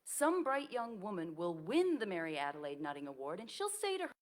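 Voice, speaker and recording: A woman's voice: fairly low pitch, big pitch swings, fairly quick. A woman in a staged play reading, playing an aging nursing professor who tenderly and earnestly reassures her friend. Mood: wry, earnest.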